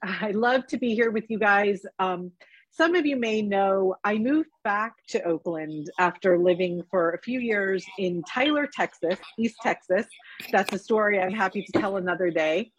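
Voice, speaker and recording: A woman with a husky voice speaks to a club as its guest in an easy, personable tone. Her recording sounds dry and close. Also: medium pitch, moderate pace, dry recording, rough recording. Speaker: guest speaker, news editor. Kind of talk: friendly speech to a club.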